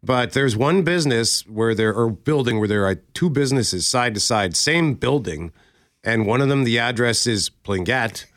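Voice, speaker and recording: A man's voice: mid-range voice, lively intonation, normal speed, a bit bright, no room sound, clean studio sound. A Canadian man co-hosting a radio show in a casual, chatty style. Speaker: radio co-host.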